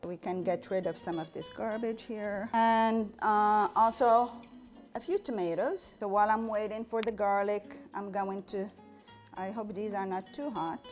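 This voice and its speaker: A woman's voice: mid-range voice, measured pace, soft background music. Woman from Morocco teaching a cooking show. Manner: friendly step-by-step explaining while cooking. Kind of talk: cooking demonstration. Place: home kitchen, indoors. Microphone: lapel mic.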